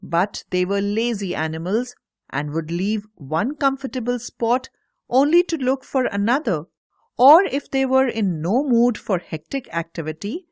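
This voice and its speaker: A woman narrating a scripted children's story in a measured, carefully enunciated read-aloud manner. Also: fairly high pitch, darkish tone, dry recording, clean studio sound.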